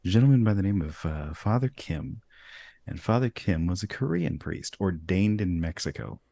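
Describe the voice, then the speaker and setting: A man hosting a casual interview, talking in a relaxed way and laughing easily between points. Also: deep voice, moderate pace, vocal fry. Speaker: host.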